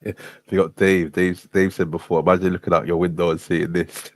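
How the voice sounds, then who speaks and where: Chill host reading out chat, very deep voice, dry close sound. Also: male voice, level tone, a little fast, no echo. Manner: laid-back, giggly, reads out chat messages. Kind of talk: casual streaming chat, reading chat.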